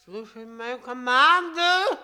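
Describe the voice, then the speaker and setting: An actor playing a fussy, muddled, childish clown minister in a comic fairy-tale radio play. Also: male voice, extremely high pitch, lively intonation, slow pace, pushed and bright, clear, resonant tone, full character voice. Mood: muddled, bossy.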